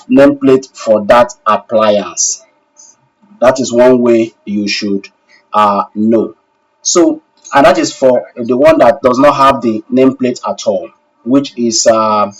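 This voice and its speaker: A man's voice: medium pitch, recorded from a distance, rough recording. A man instructing a live online class and taking questions, courteous and formal, repeating phrases for emphasis. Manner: courteous, addresses learners formally as sir. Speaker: instructor of an online technical course.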